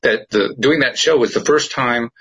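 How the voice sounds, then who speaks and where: A man's voice: medium pitch, moderate pace, a bit bright, breathy edge, poor audio. A middle-aged man, a podcast host, talking earnestly and reflectively in a casual conversation.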